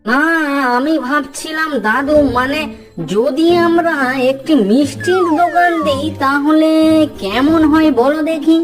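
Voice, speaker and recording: A woman's voice: high voice, a little slow. A woman voicing an eager, stubborn young village boy in a Bengali moral-story cartoon, polite and persuasive. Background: music.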